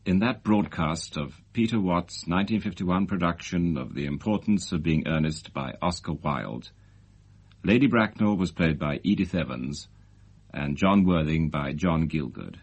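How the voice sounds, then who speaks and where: A man's voice: low voice, moderate pace, slightly rough. A man with a British accent reading a formal broadcast announcement as a radio announcer.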